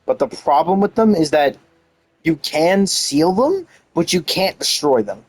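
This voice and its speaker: A man's voice: a little high, lively intonation, unhurried, husky. A man giving casual, off-the-cuff game commentary, explaining things informally and often interrupting himself. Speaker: game streamer.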